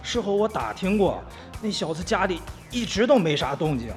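A man playing an anxious, suspicious sidekick who talks in a hesitant, nagging, excitable way in a radio drama. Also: high-pitched, moderate pace, soft background music. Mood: uneasy.

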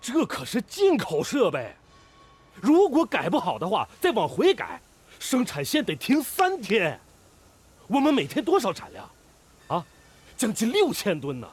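An actor playing a blunt, cautious and excitable steel-mill colleague in a Chinese radio drama. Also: male voice, high voice, a little slow, slightly rough, exaggerated voice. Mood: doubtful, challenging.

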